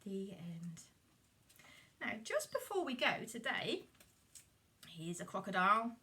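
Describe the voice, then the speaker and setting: A woman telling a story to children in an animated puppet-show style. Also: mid-range voice, slow pace. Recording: distant microphone.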